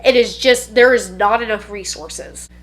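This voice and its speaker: Congested, bright-voiced woman vlogger. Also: mid-range voice, a little slow, rough recording. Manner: blunt, profane and emphatic; slangy, says "bro" and "dude". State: congested, stuffy nose. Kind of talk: casual monologue to camera.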